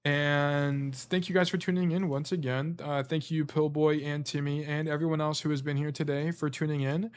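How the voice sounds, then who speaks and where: A man's voice: a little high, flat delivery, moderate pace, hardly any pauses, clear, resonant tone. A man coaching as a stream host, reviewing gameplay in a casual, rambling way while losing his voice. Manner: casual, rambling, filler-heavy explanations. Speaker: game coach.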